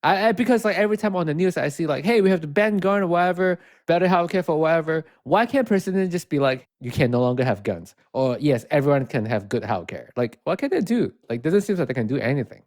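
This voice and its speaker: Male co-host from Malaysia, lively pitch, inquisitive, second-language English. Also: quick pace, hardly any pauses. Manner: curious, animated questioning. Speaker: co-host asking questions. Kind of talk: casual chat.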